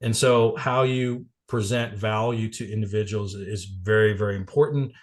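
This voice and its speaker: A man's voice: fairly low pitch, fairly even intonation, moderate pace. A man getting over a cold, a little congested and coughing, giving a casual business presentation on a video call. He rambles with many fillers and restarts. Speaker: guest presenter at a networking meeting.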